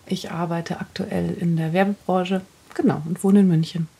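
A woman's voice: lowish voice, fairly even intonation, normal speed, gravelly, mellow, breathy edge. A woman in her thirties, a podcast guest candidly telling her personal story. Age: 30s.

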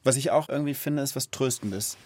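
A man's voice: medium pitch, brisk, darkish tone. A man hosting a children's podcast in a casual, explanatory way, speaking thoughtfully and with empathy.

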